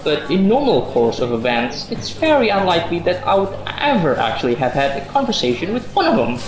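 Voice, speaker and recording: A man's voice: fairly high pitch, wildly swooping pitch, brisk, rough recording. A man playing a sarcastic, defensive office worker husband in a radio drama, sardonic and emphatic. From Indonesia. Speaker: actor in a student radio drama.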